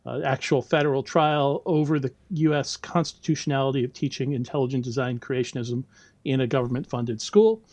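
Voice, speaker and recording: A middle-aged American man, a biology lecturer, speaking as a podcast guest in a relaxed, explanatory way with frequent ums and some laughs. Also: medium pitch, no echo. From United States. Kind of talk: casual interview talk.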